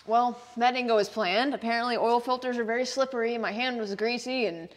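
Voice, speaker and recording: A woman casually narrating her own car maintenance in her home garage, chatty and quick to laugh off her own mishaps. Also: on the high side, steady tone. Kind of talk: casual how-to narration while working. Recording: echoey shop with long reverb.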